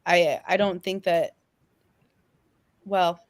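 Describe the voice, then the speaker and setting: A woman's voice: fairly low pitch, steady tone, slow, dry recording. A woman chatting casually as a panelist, giving her opinion in a fan discussion.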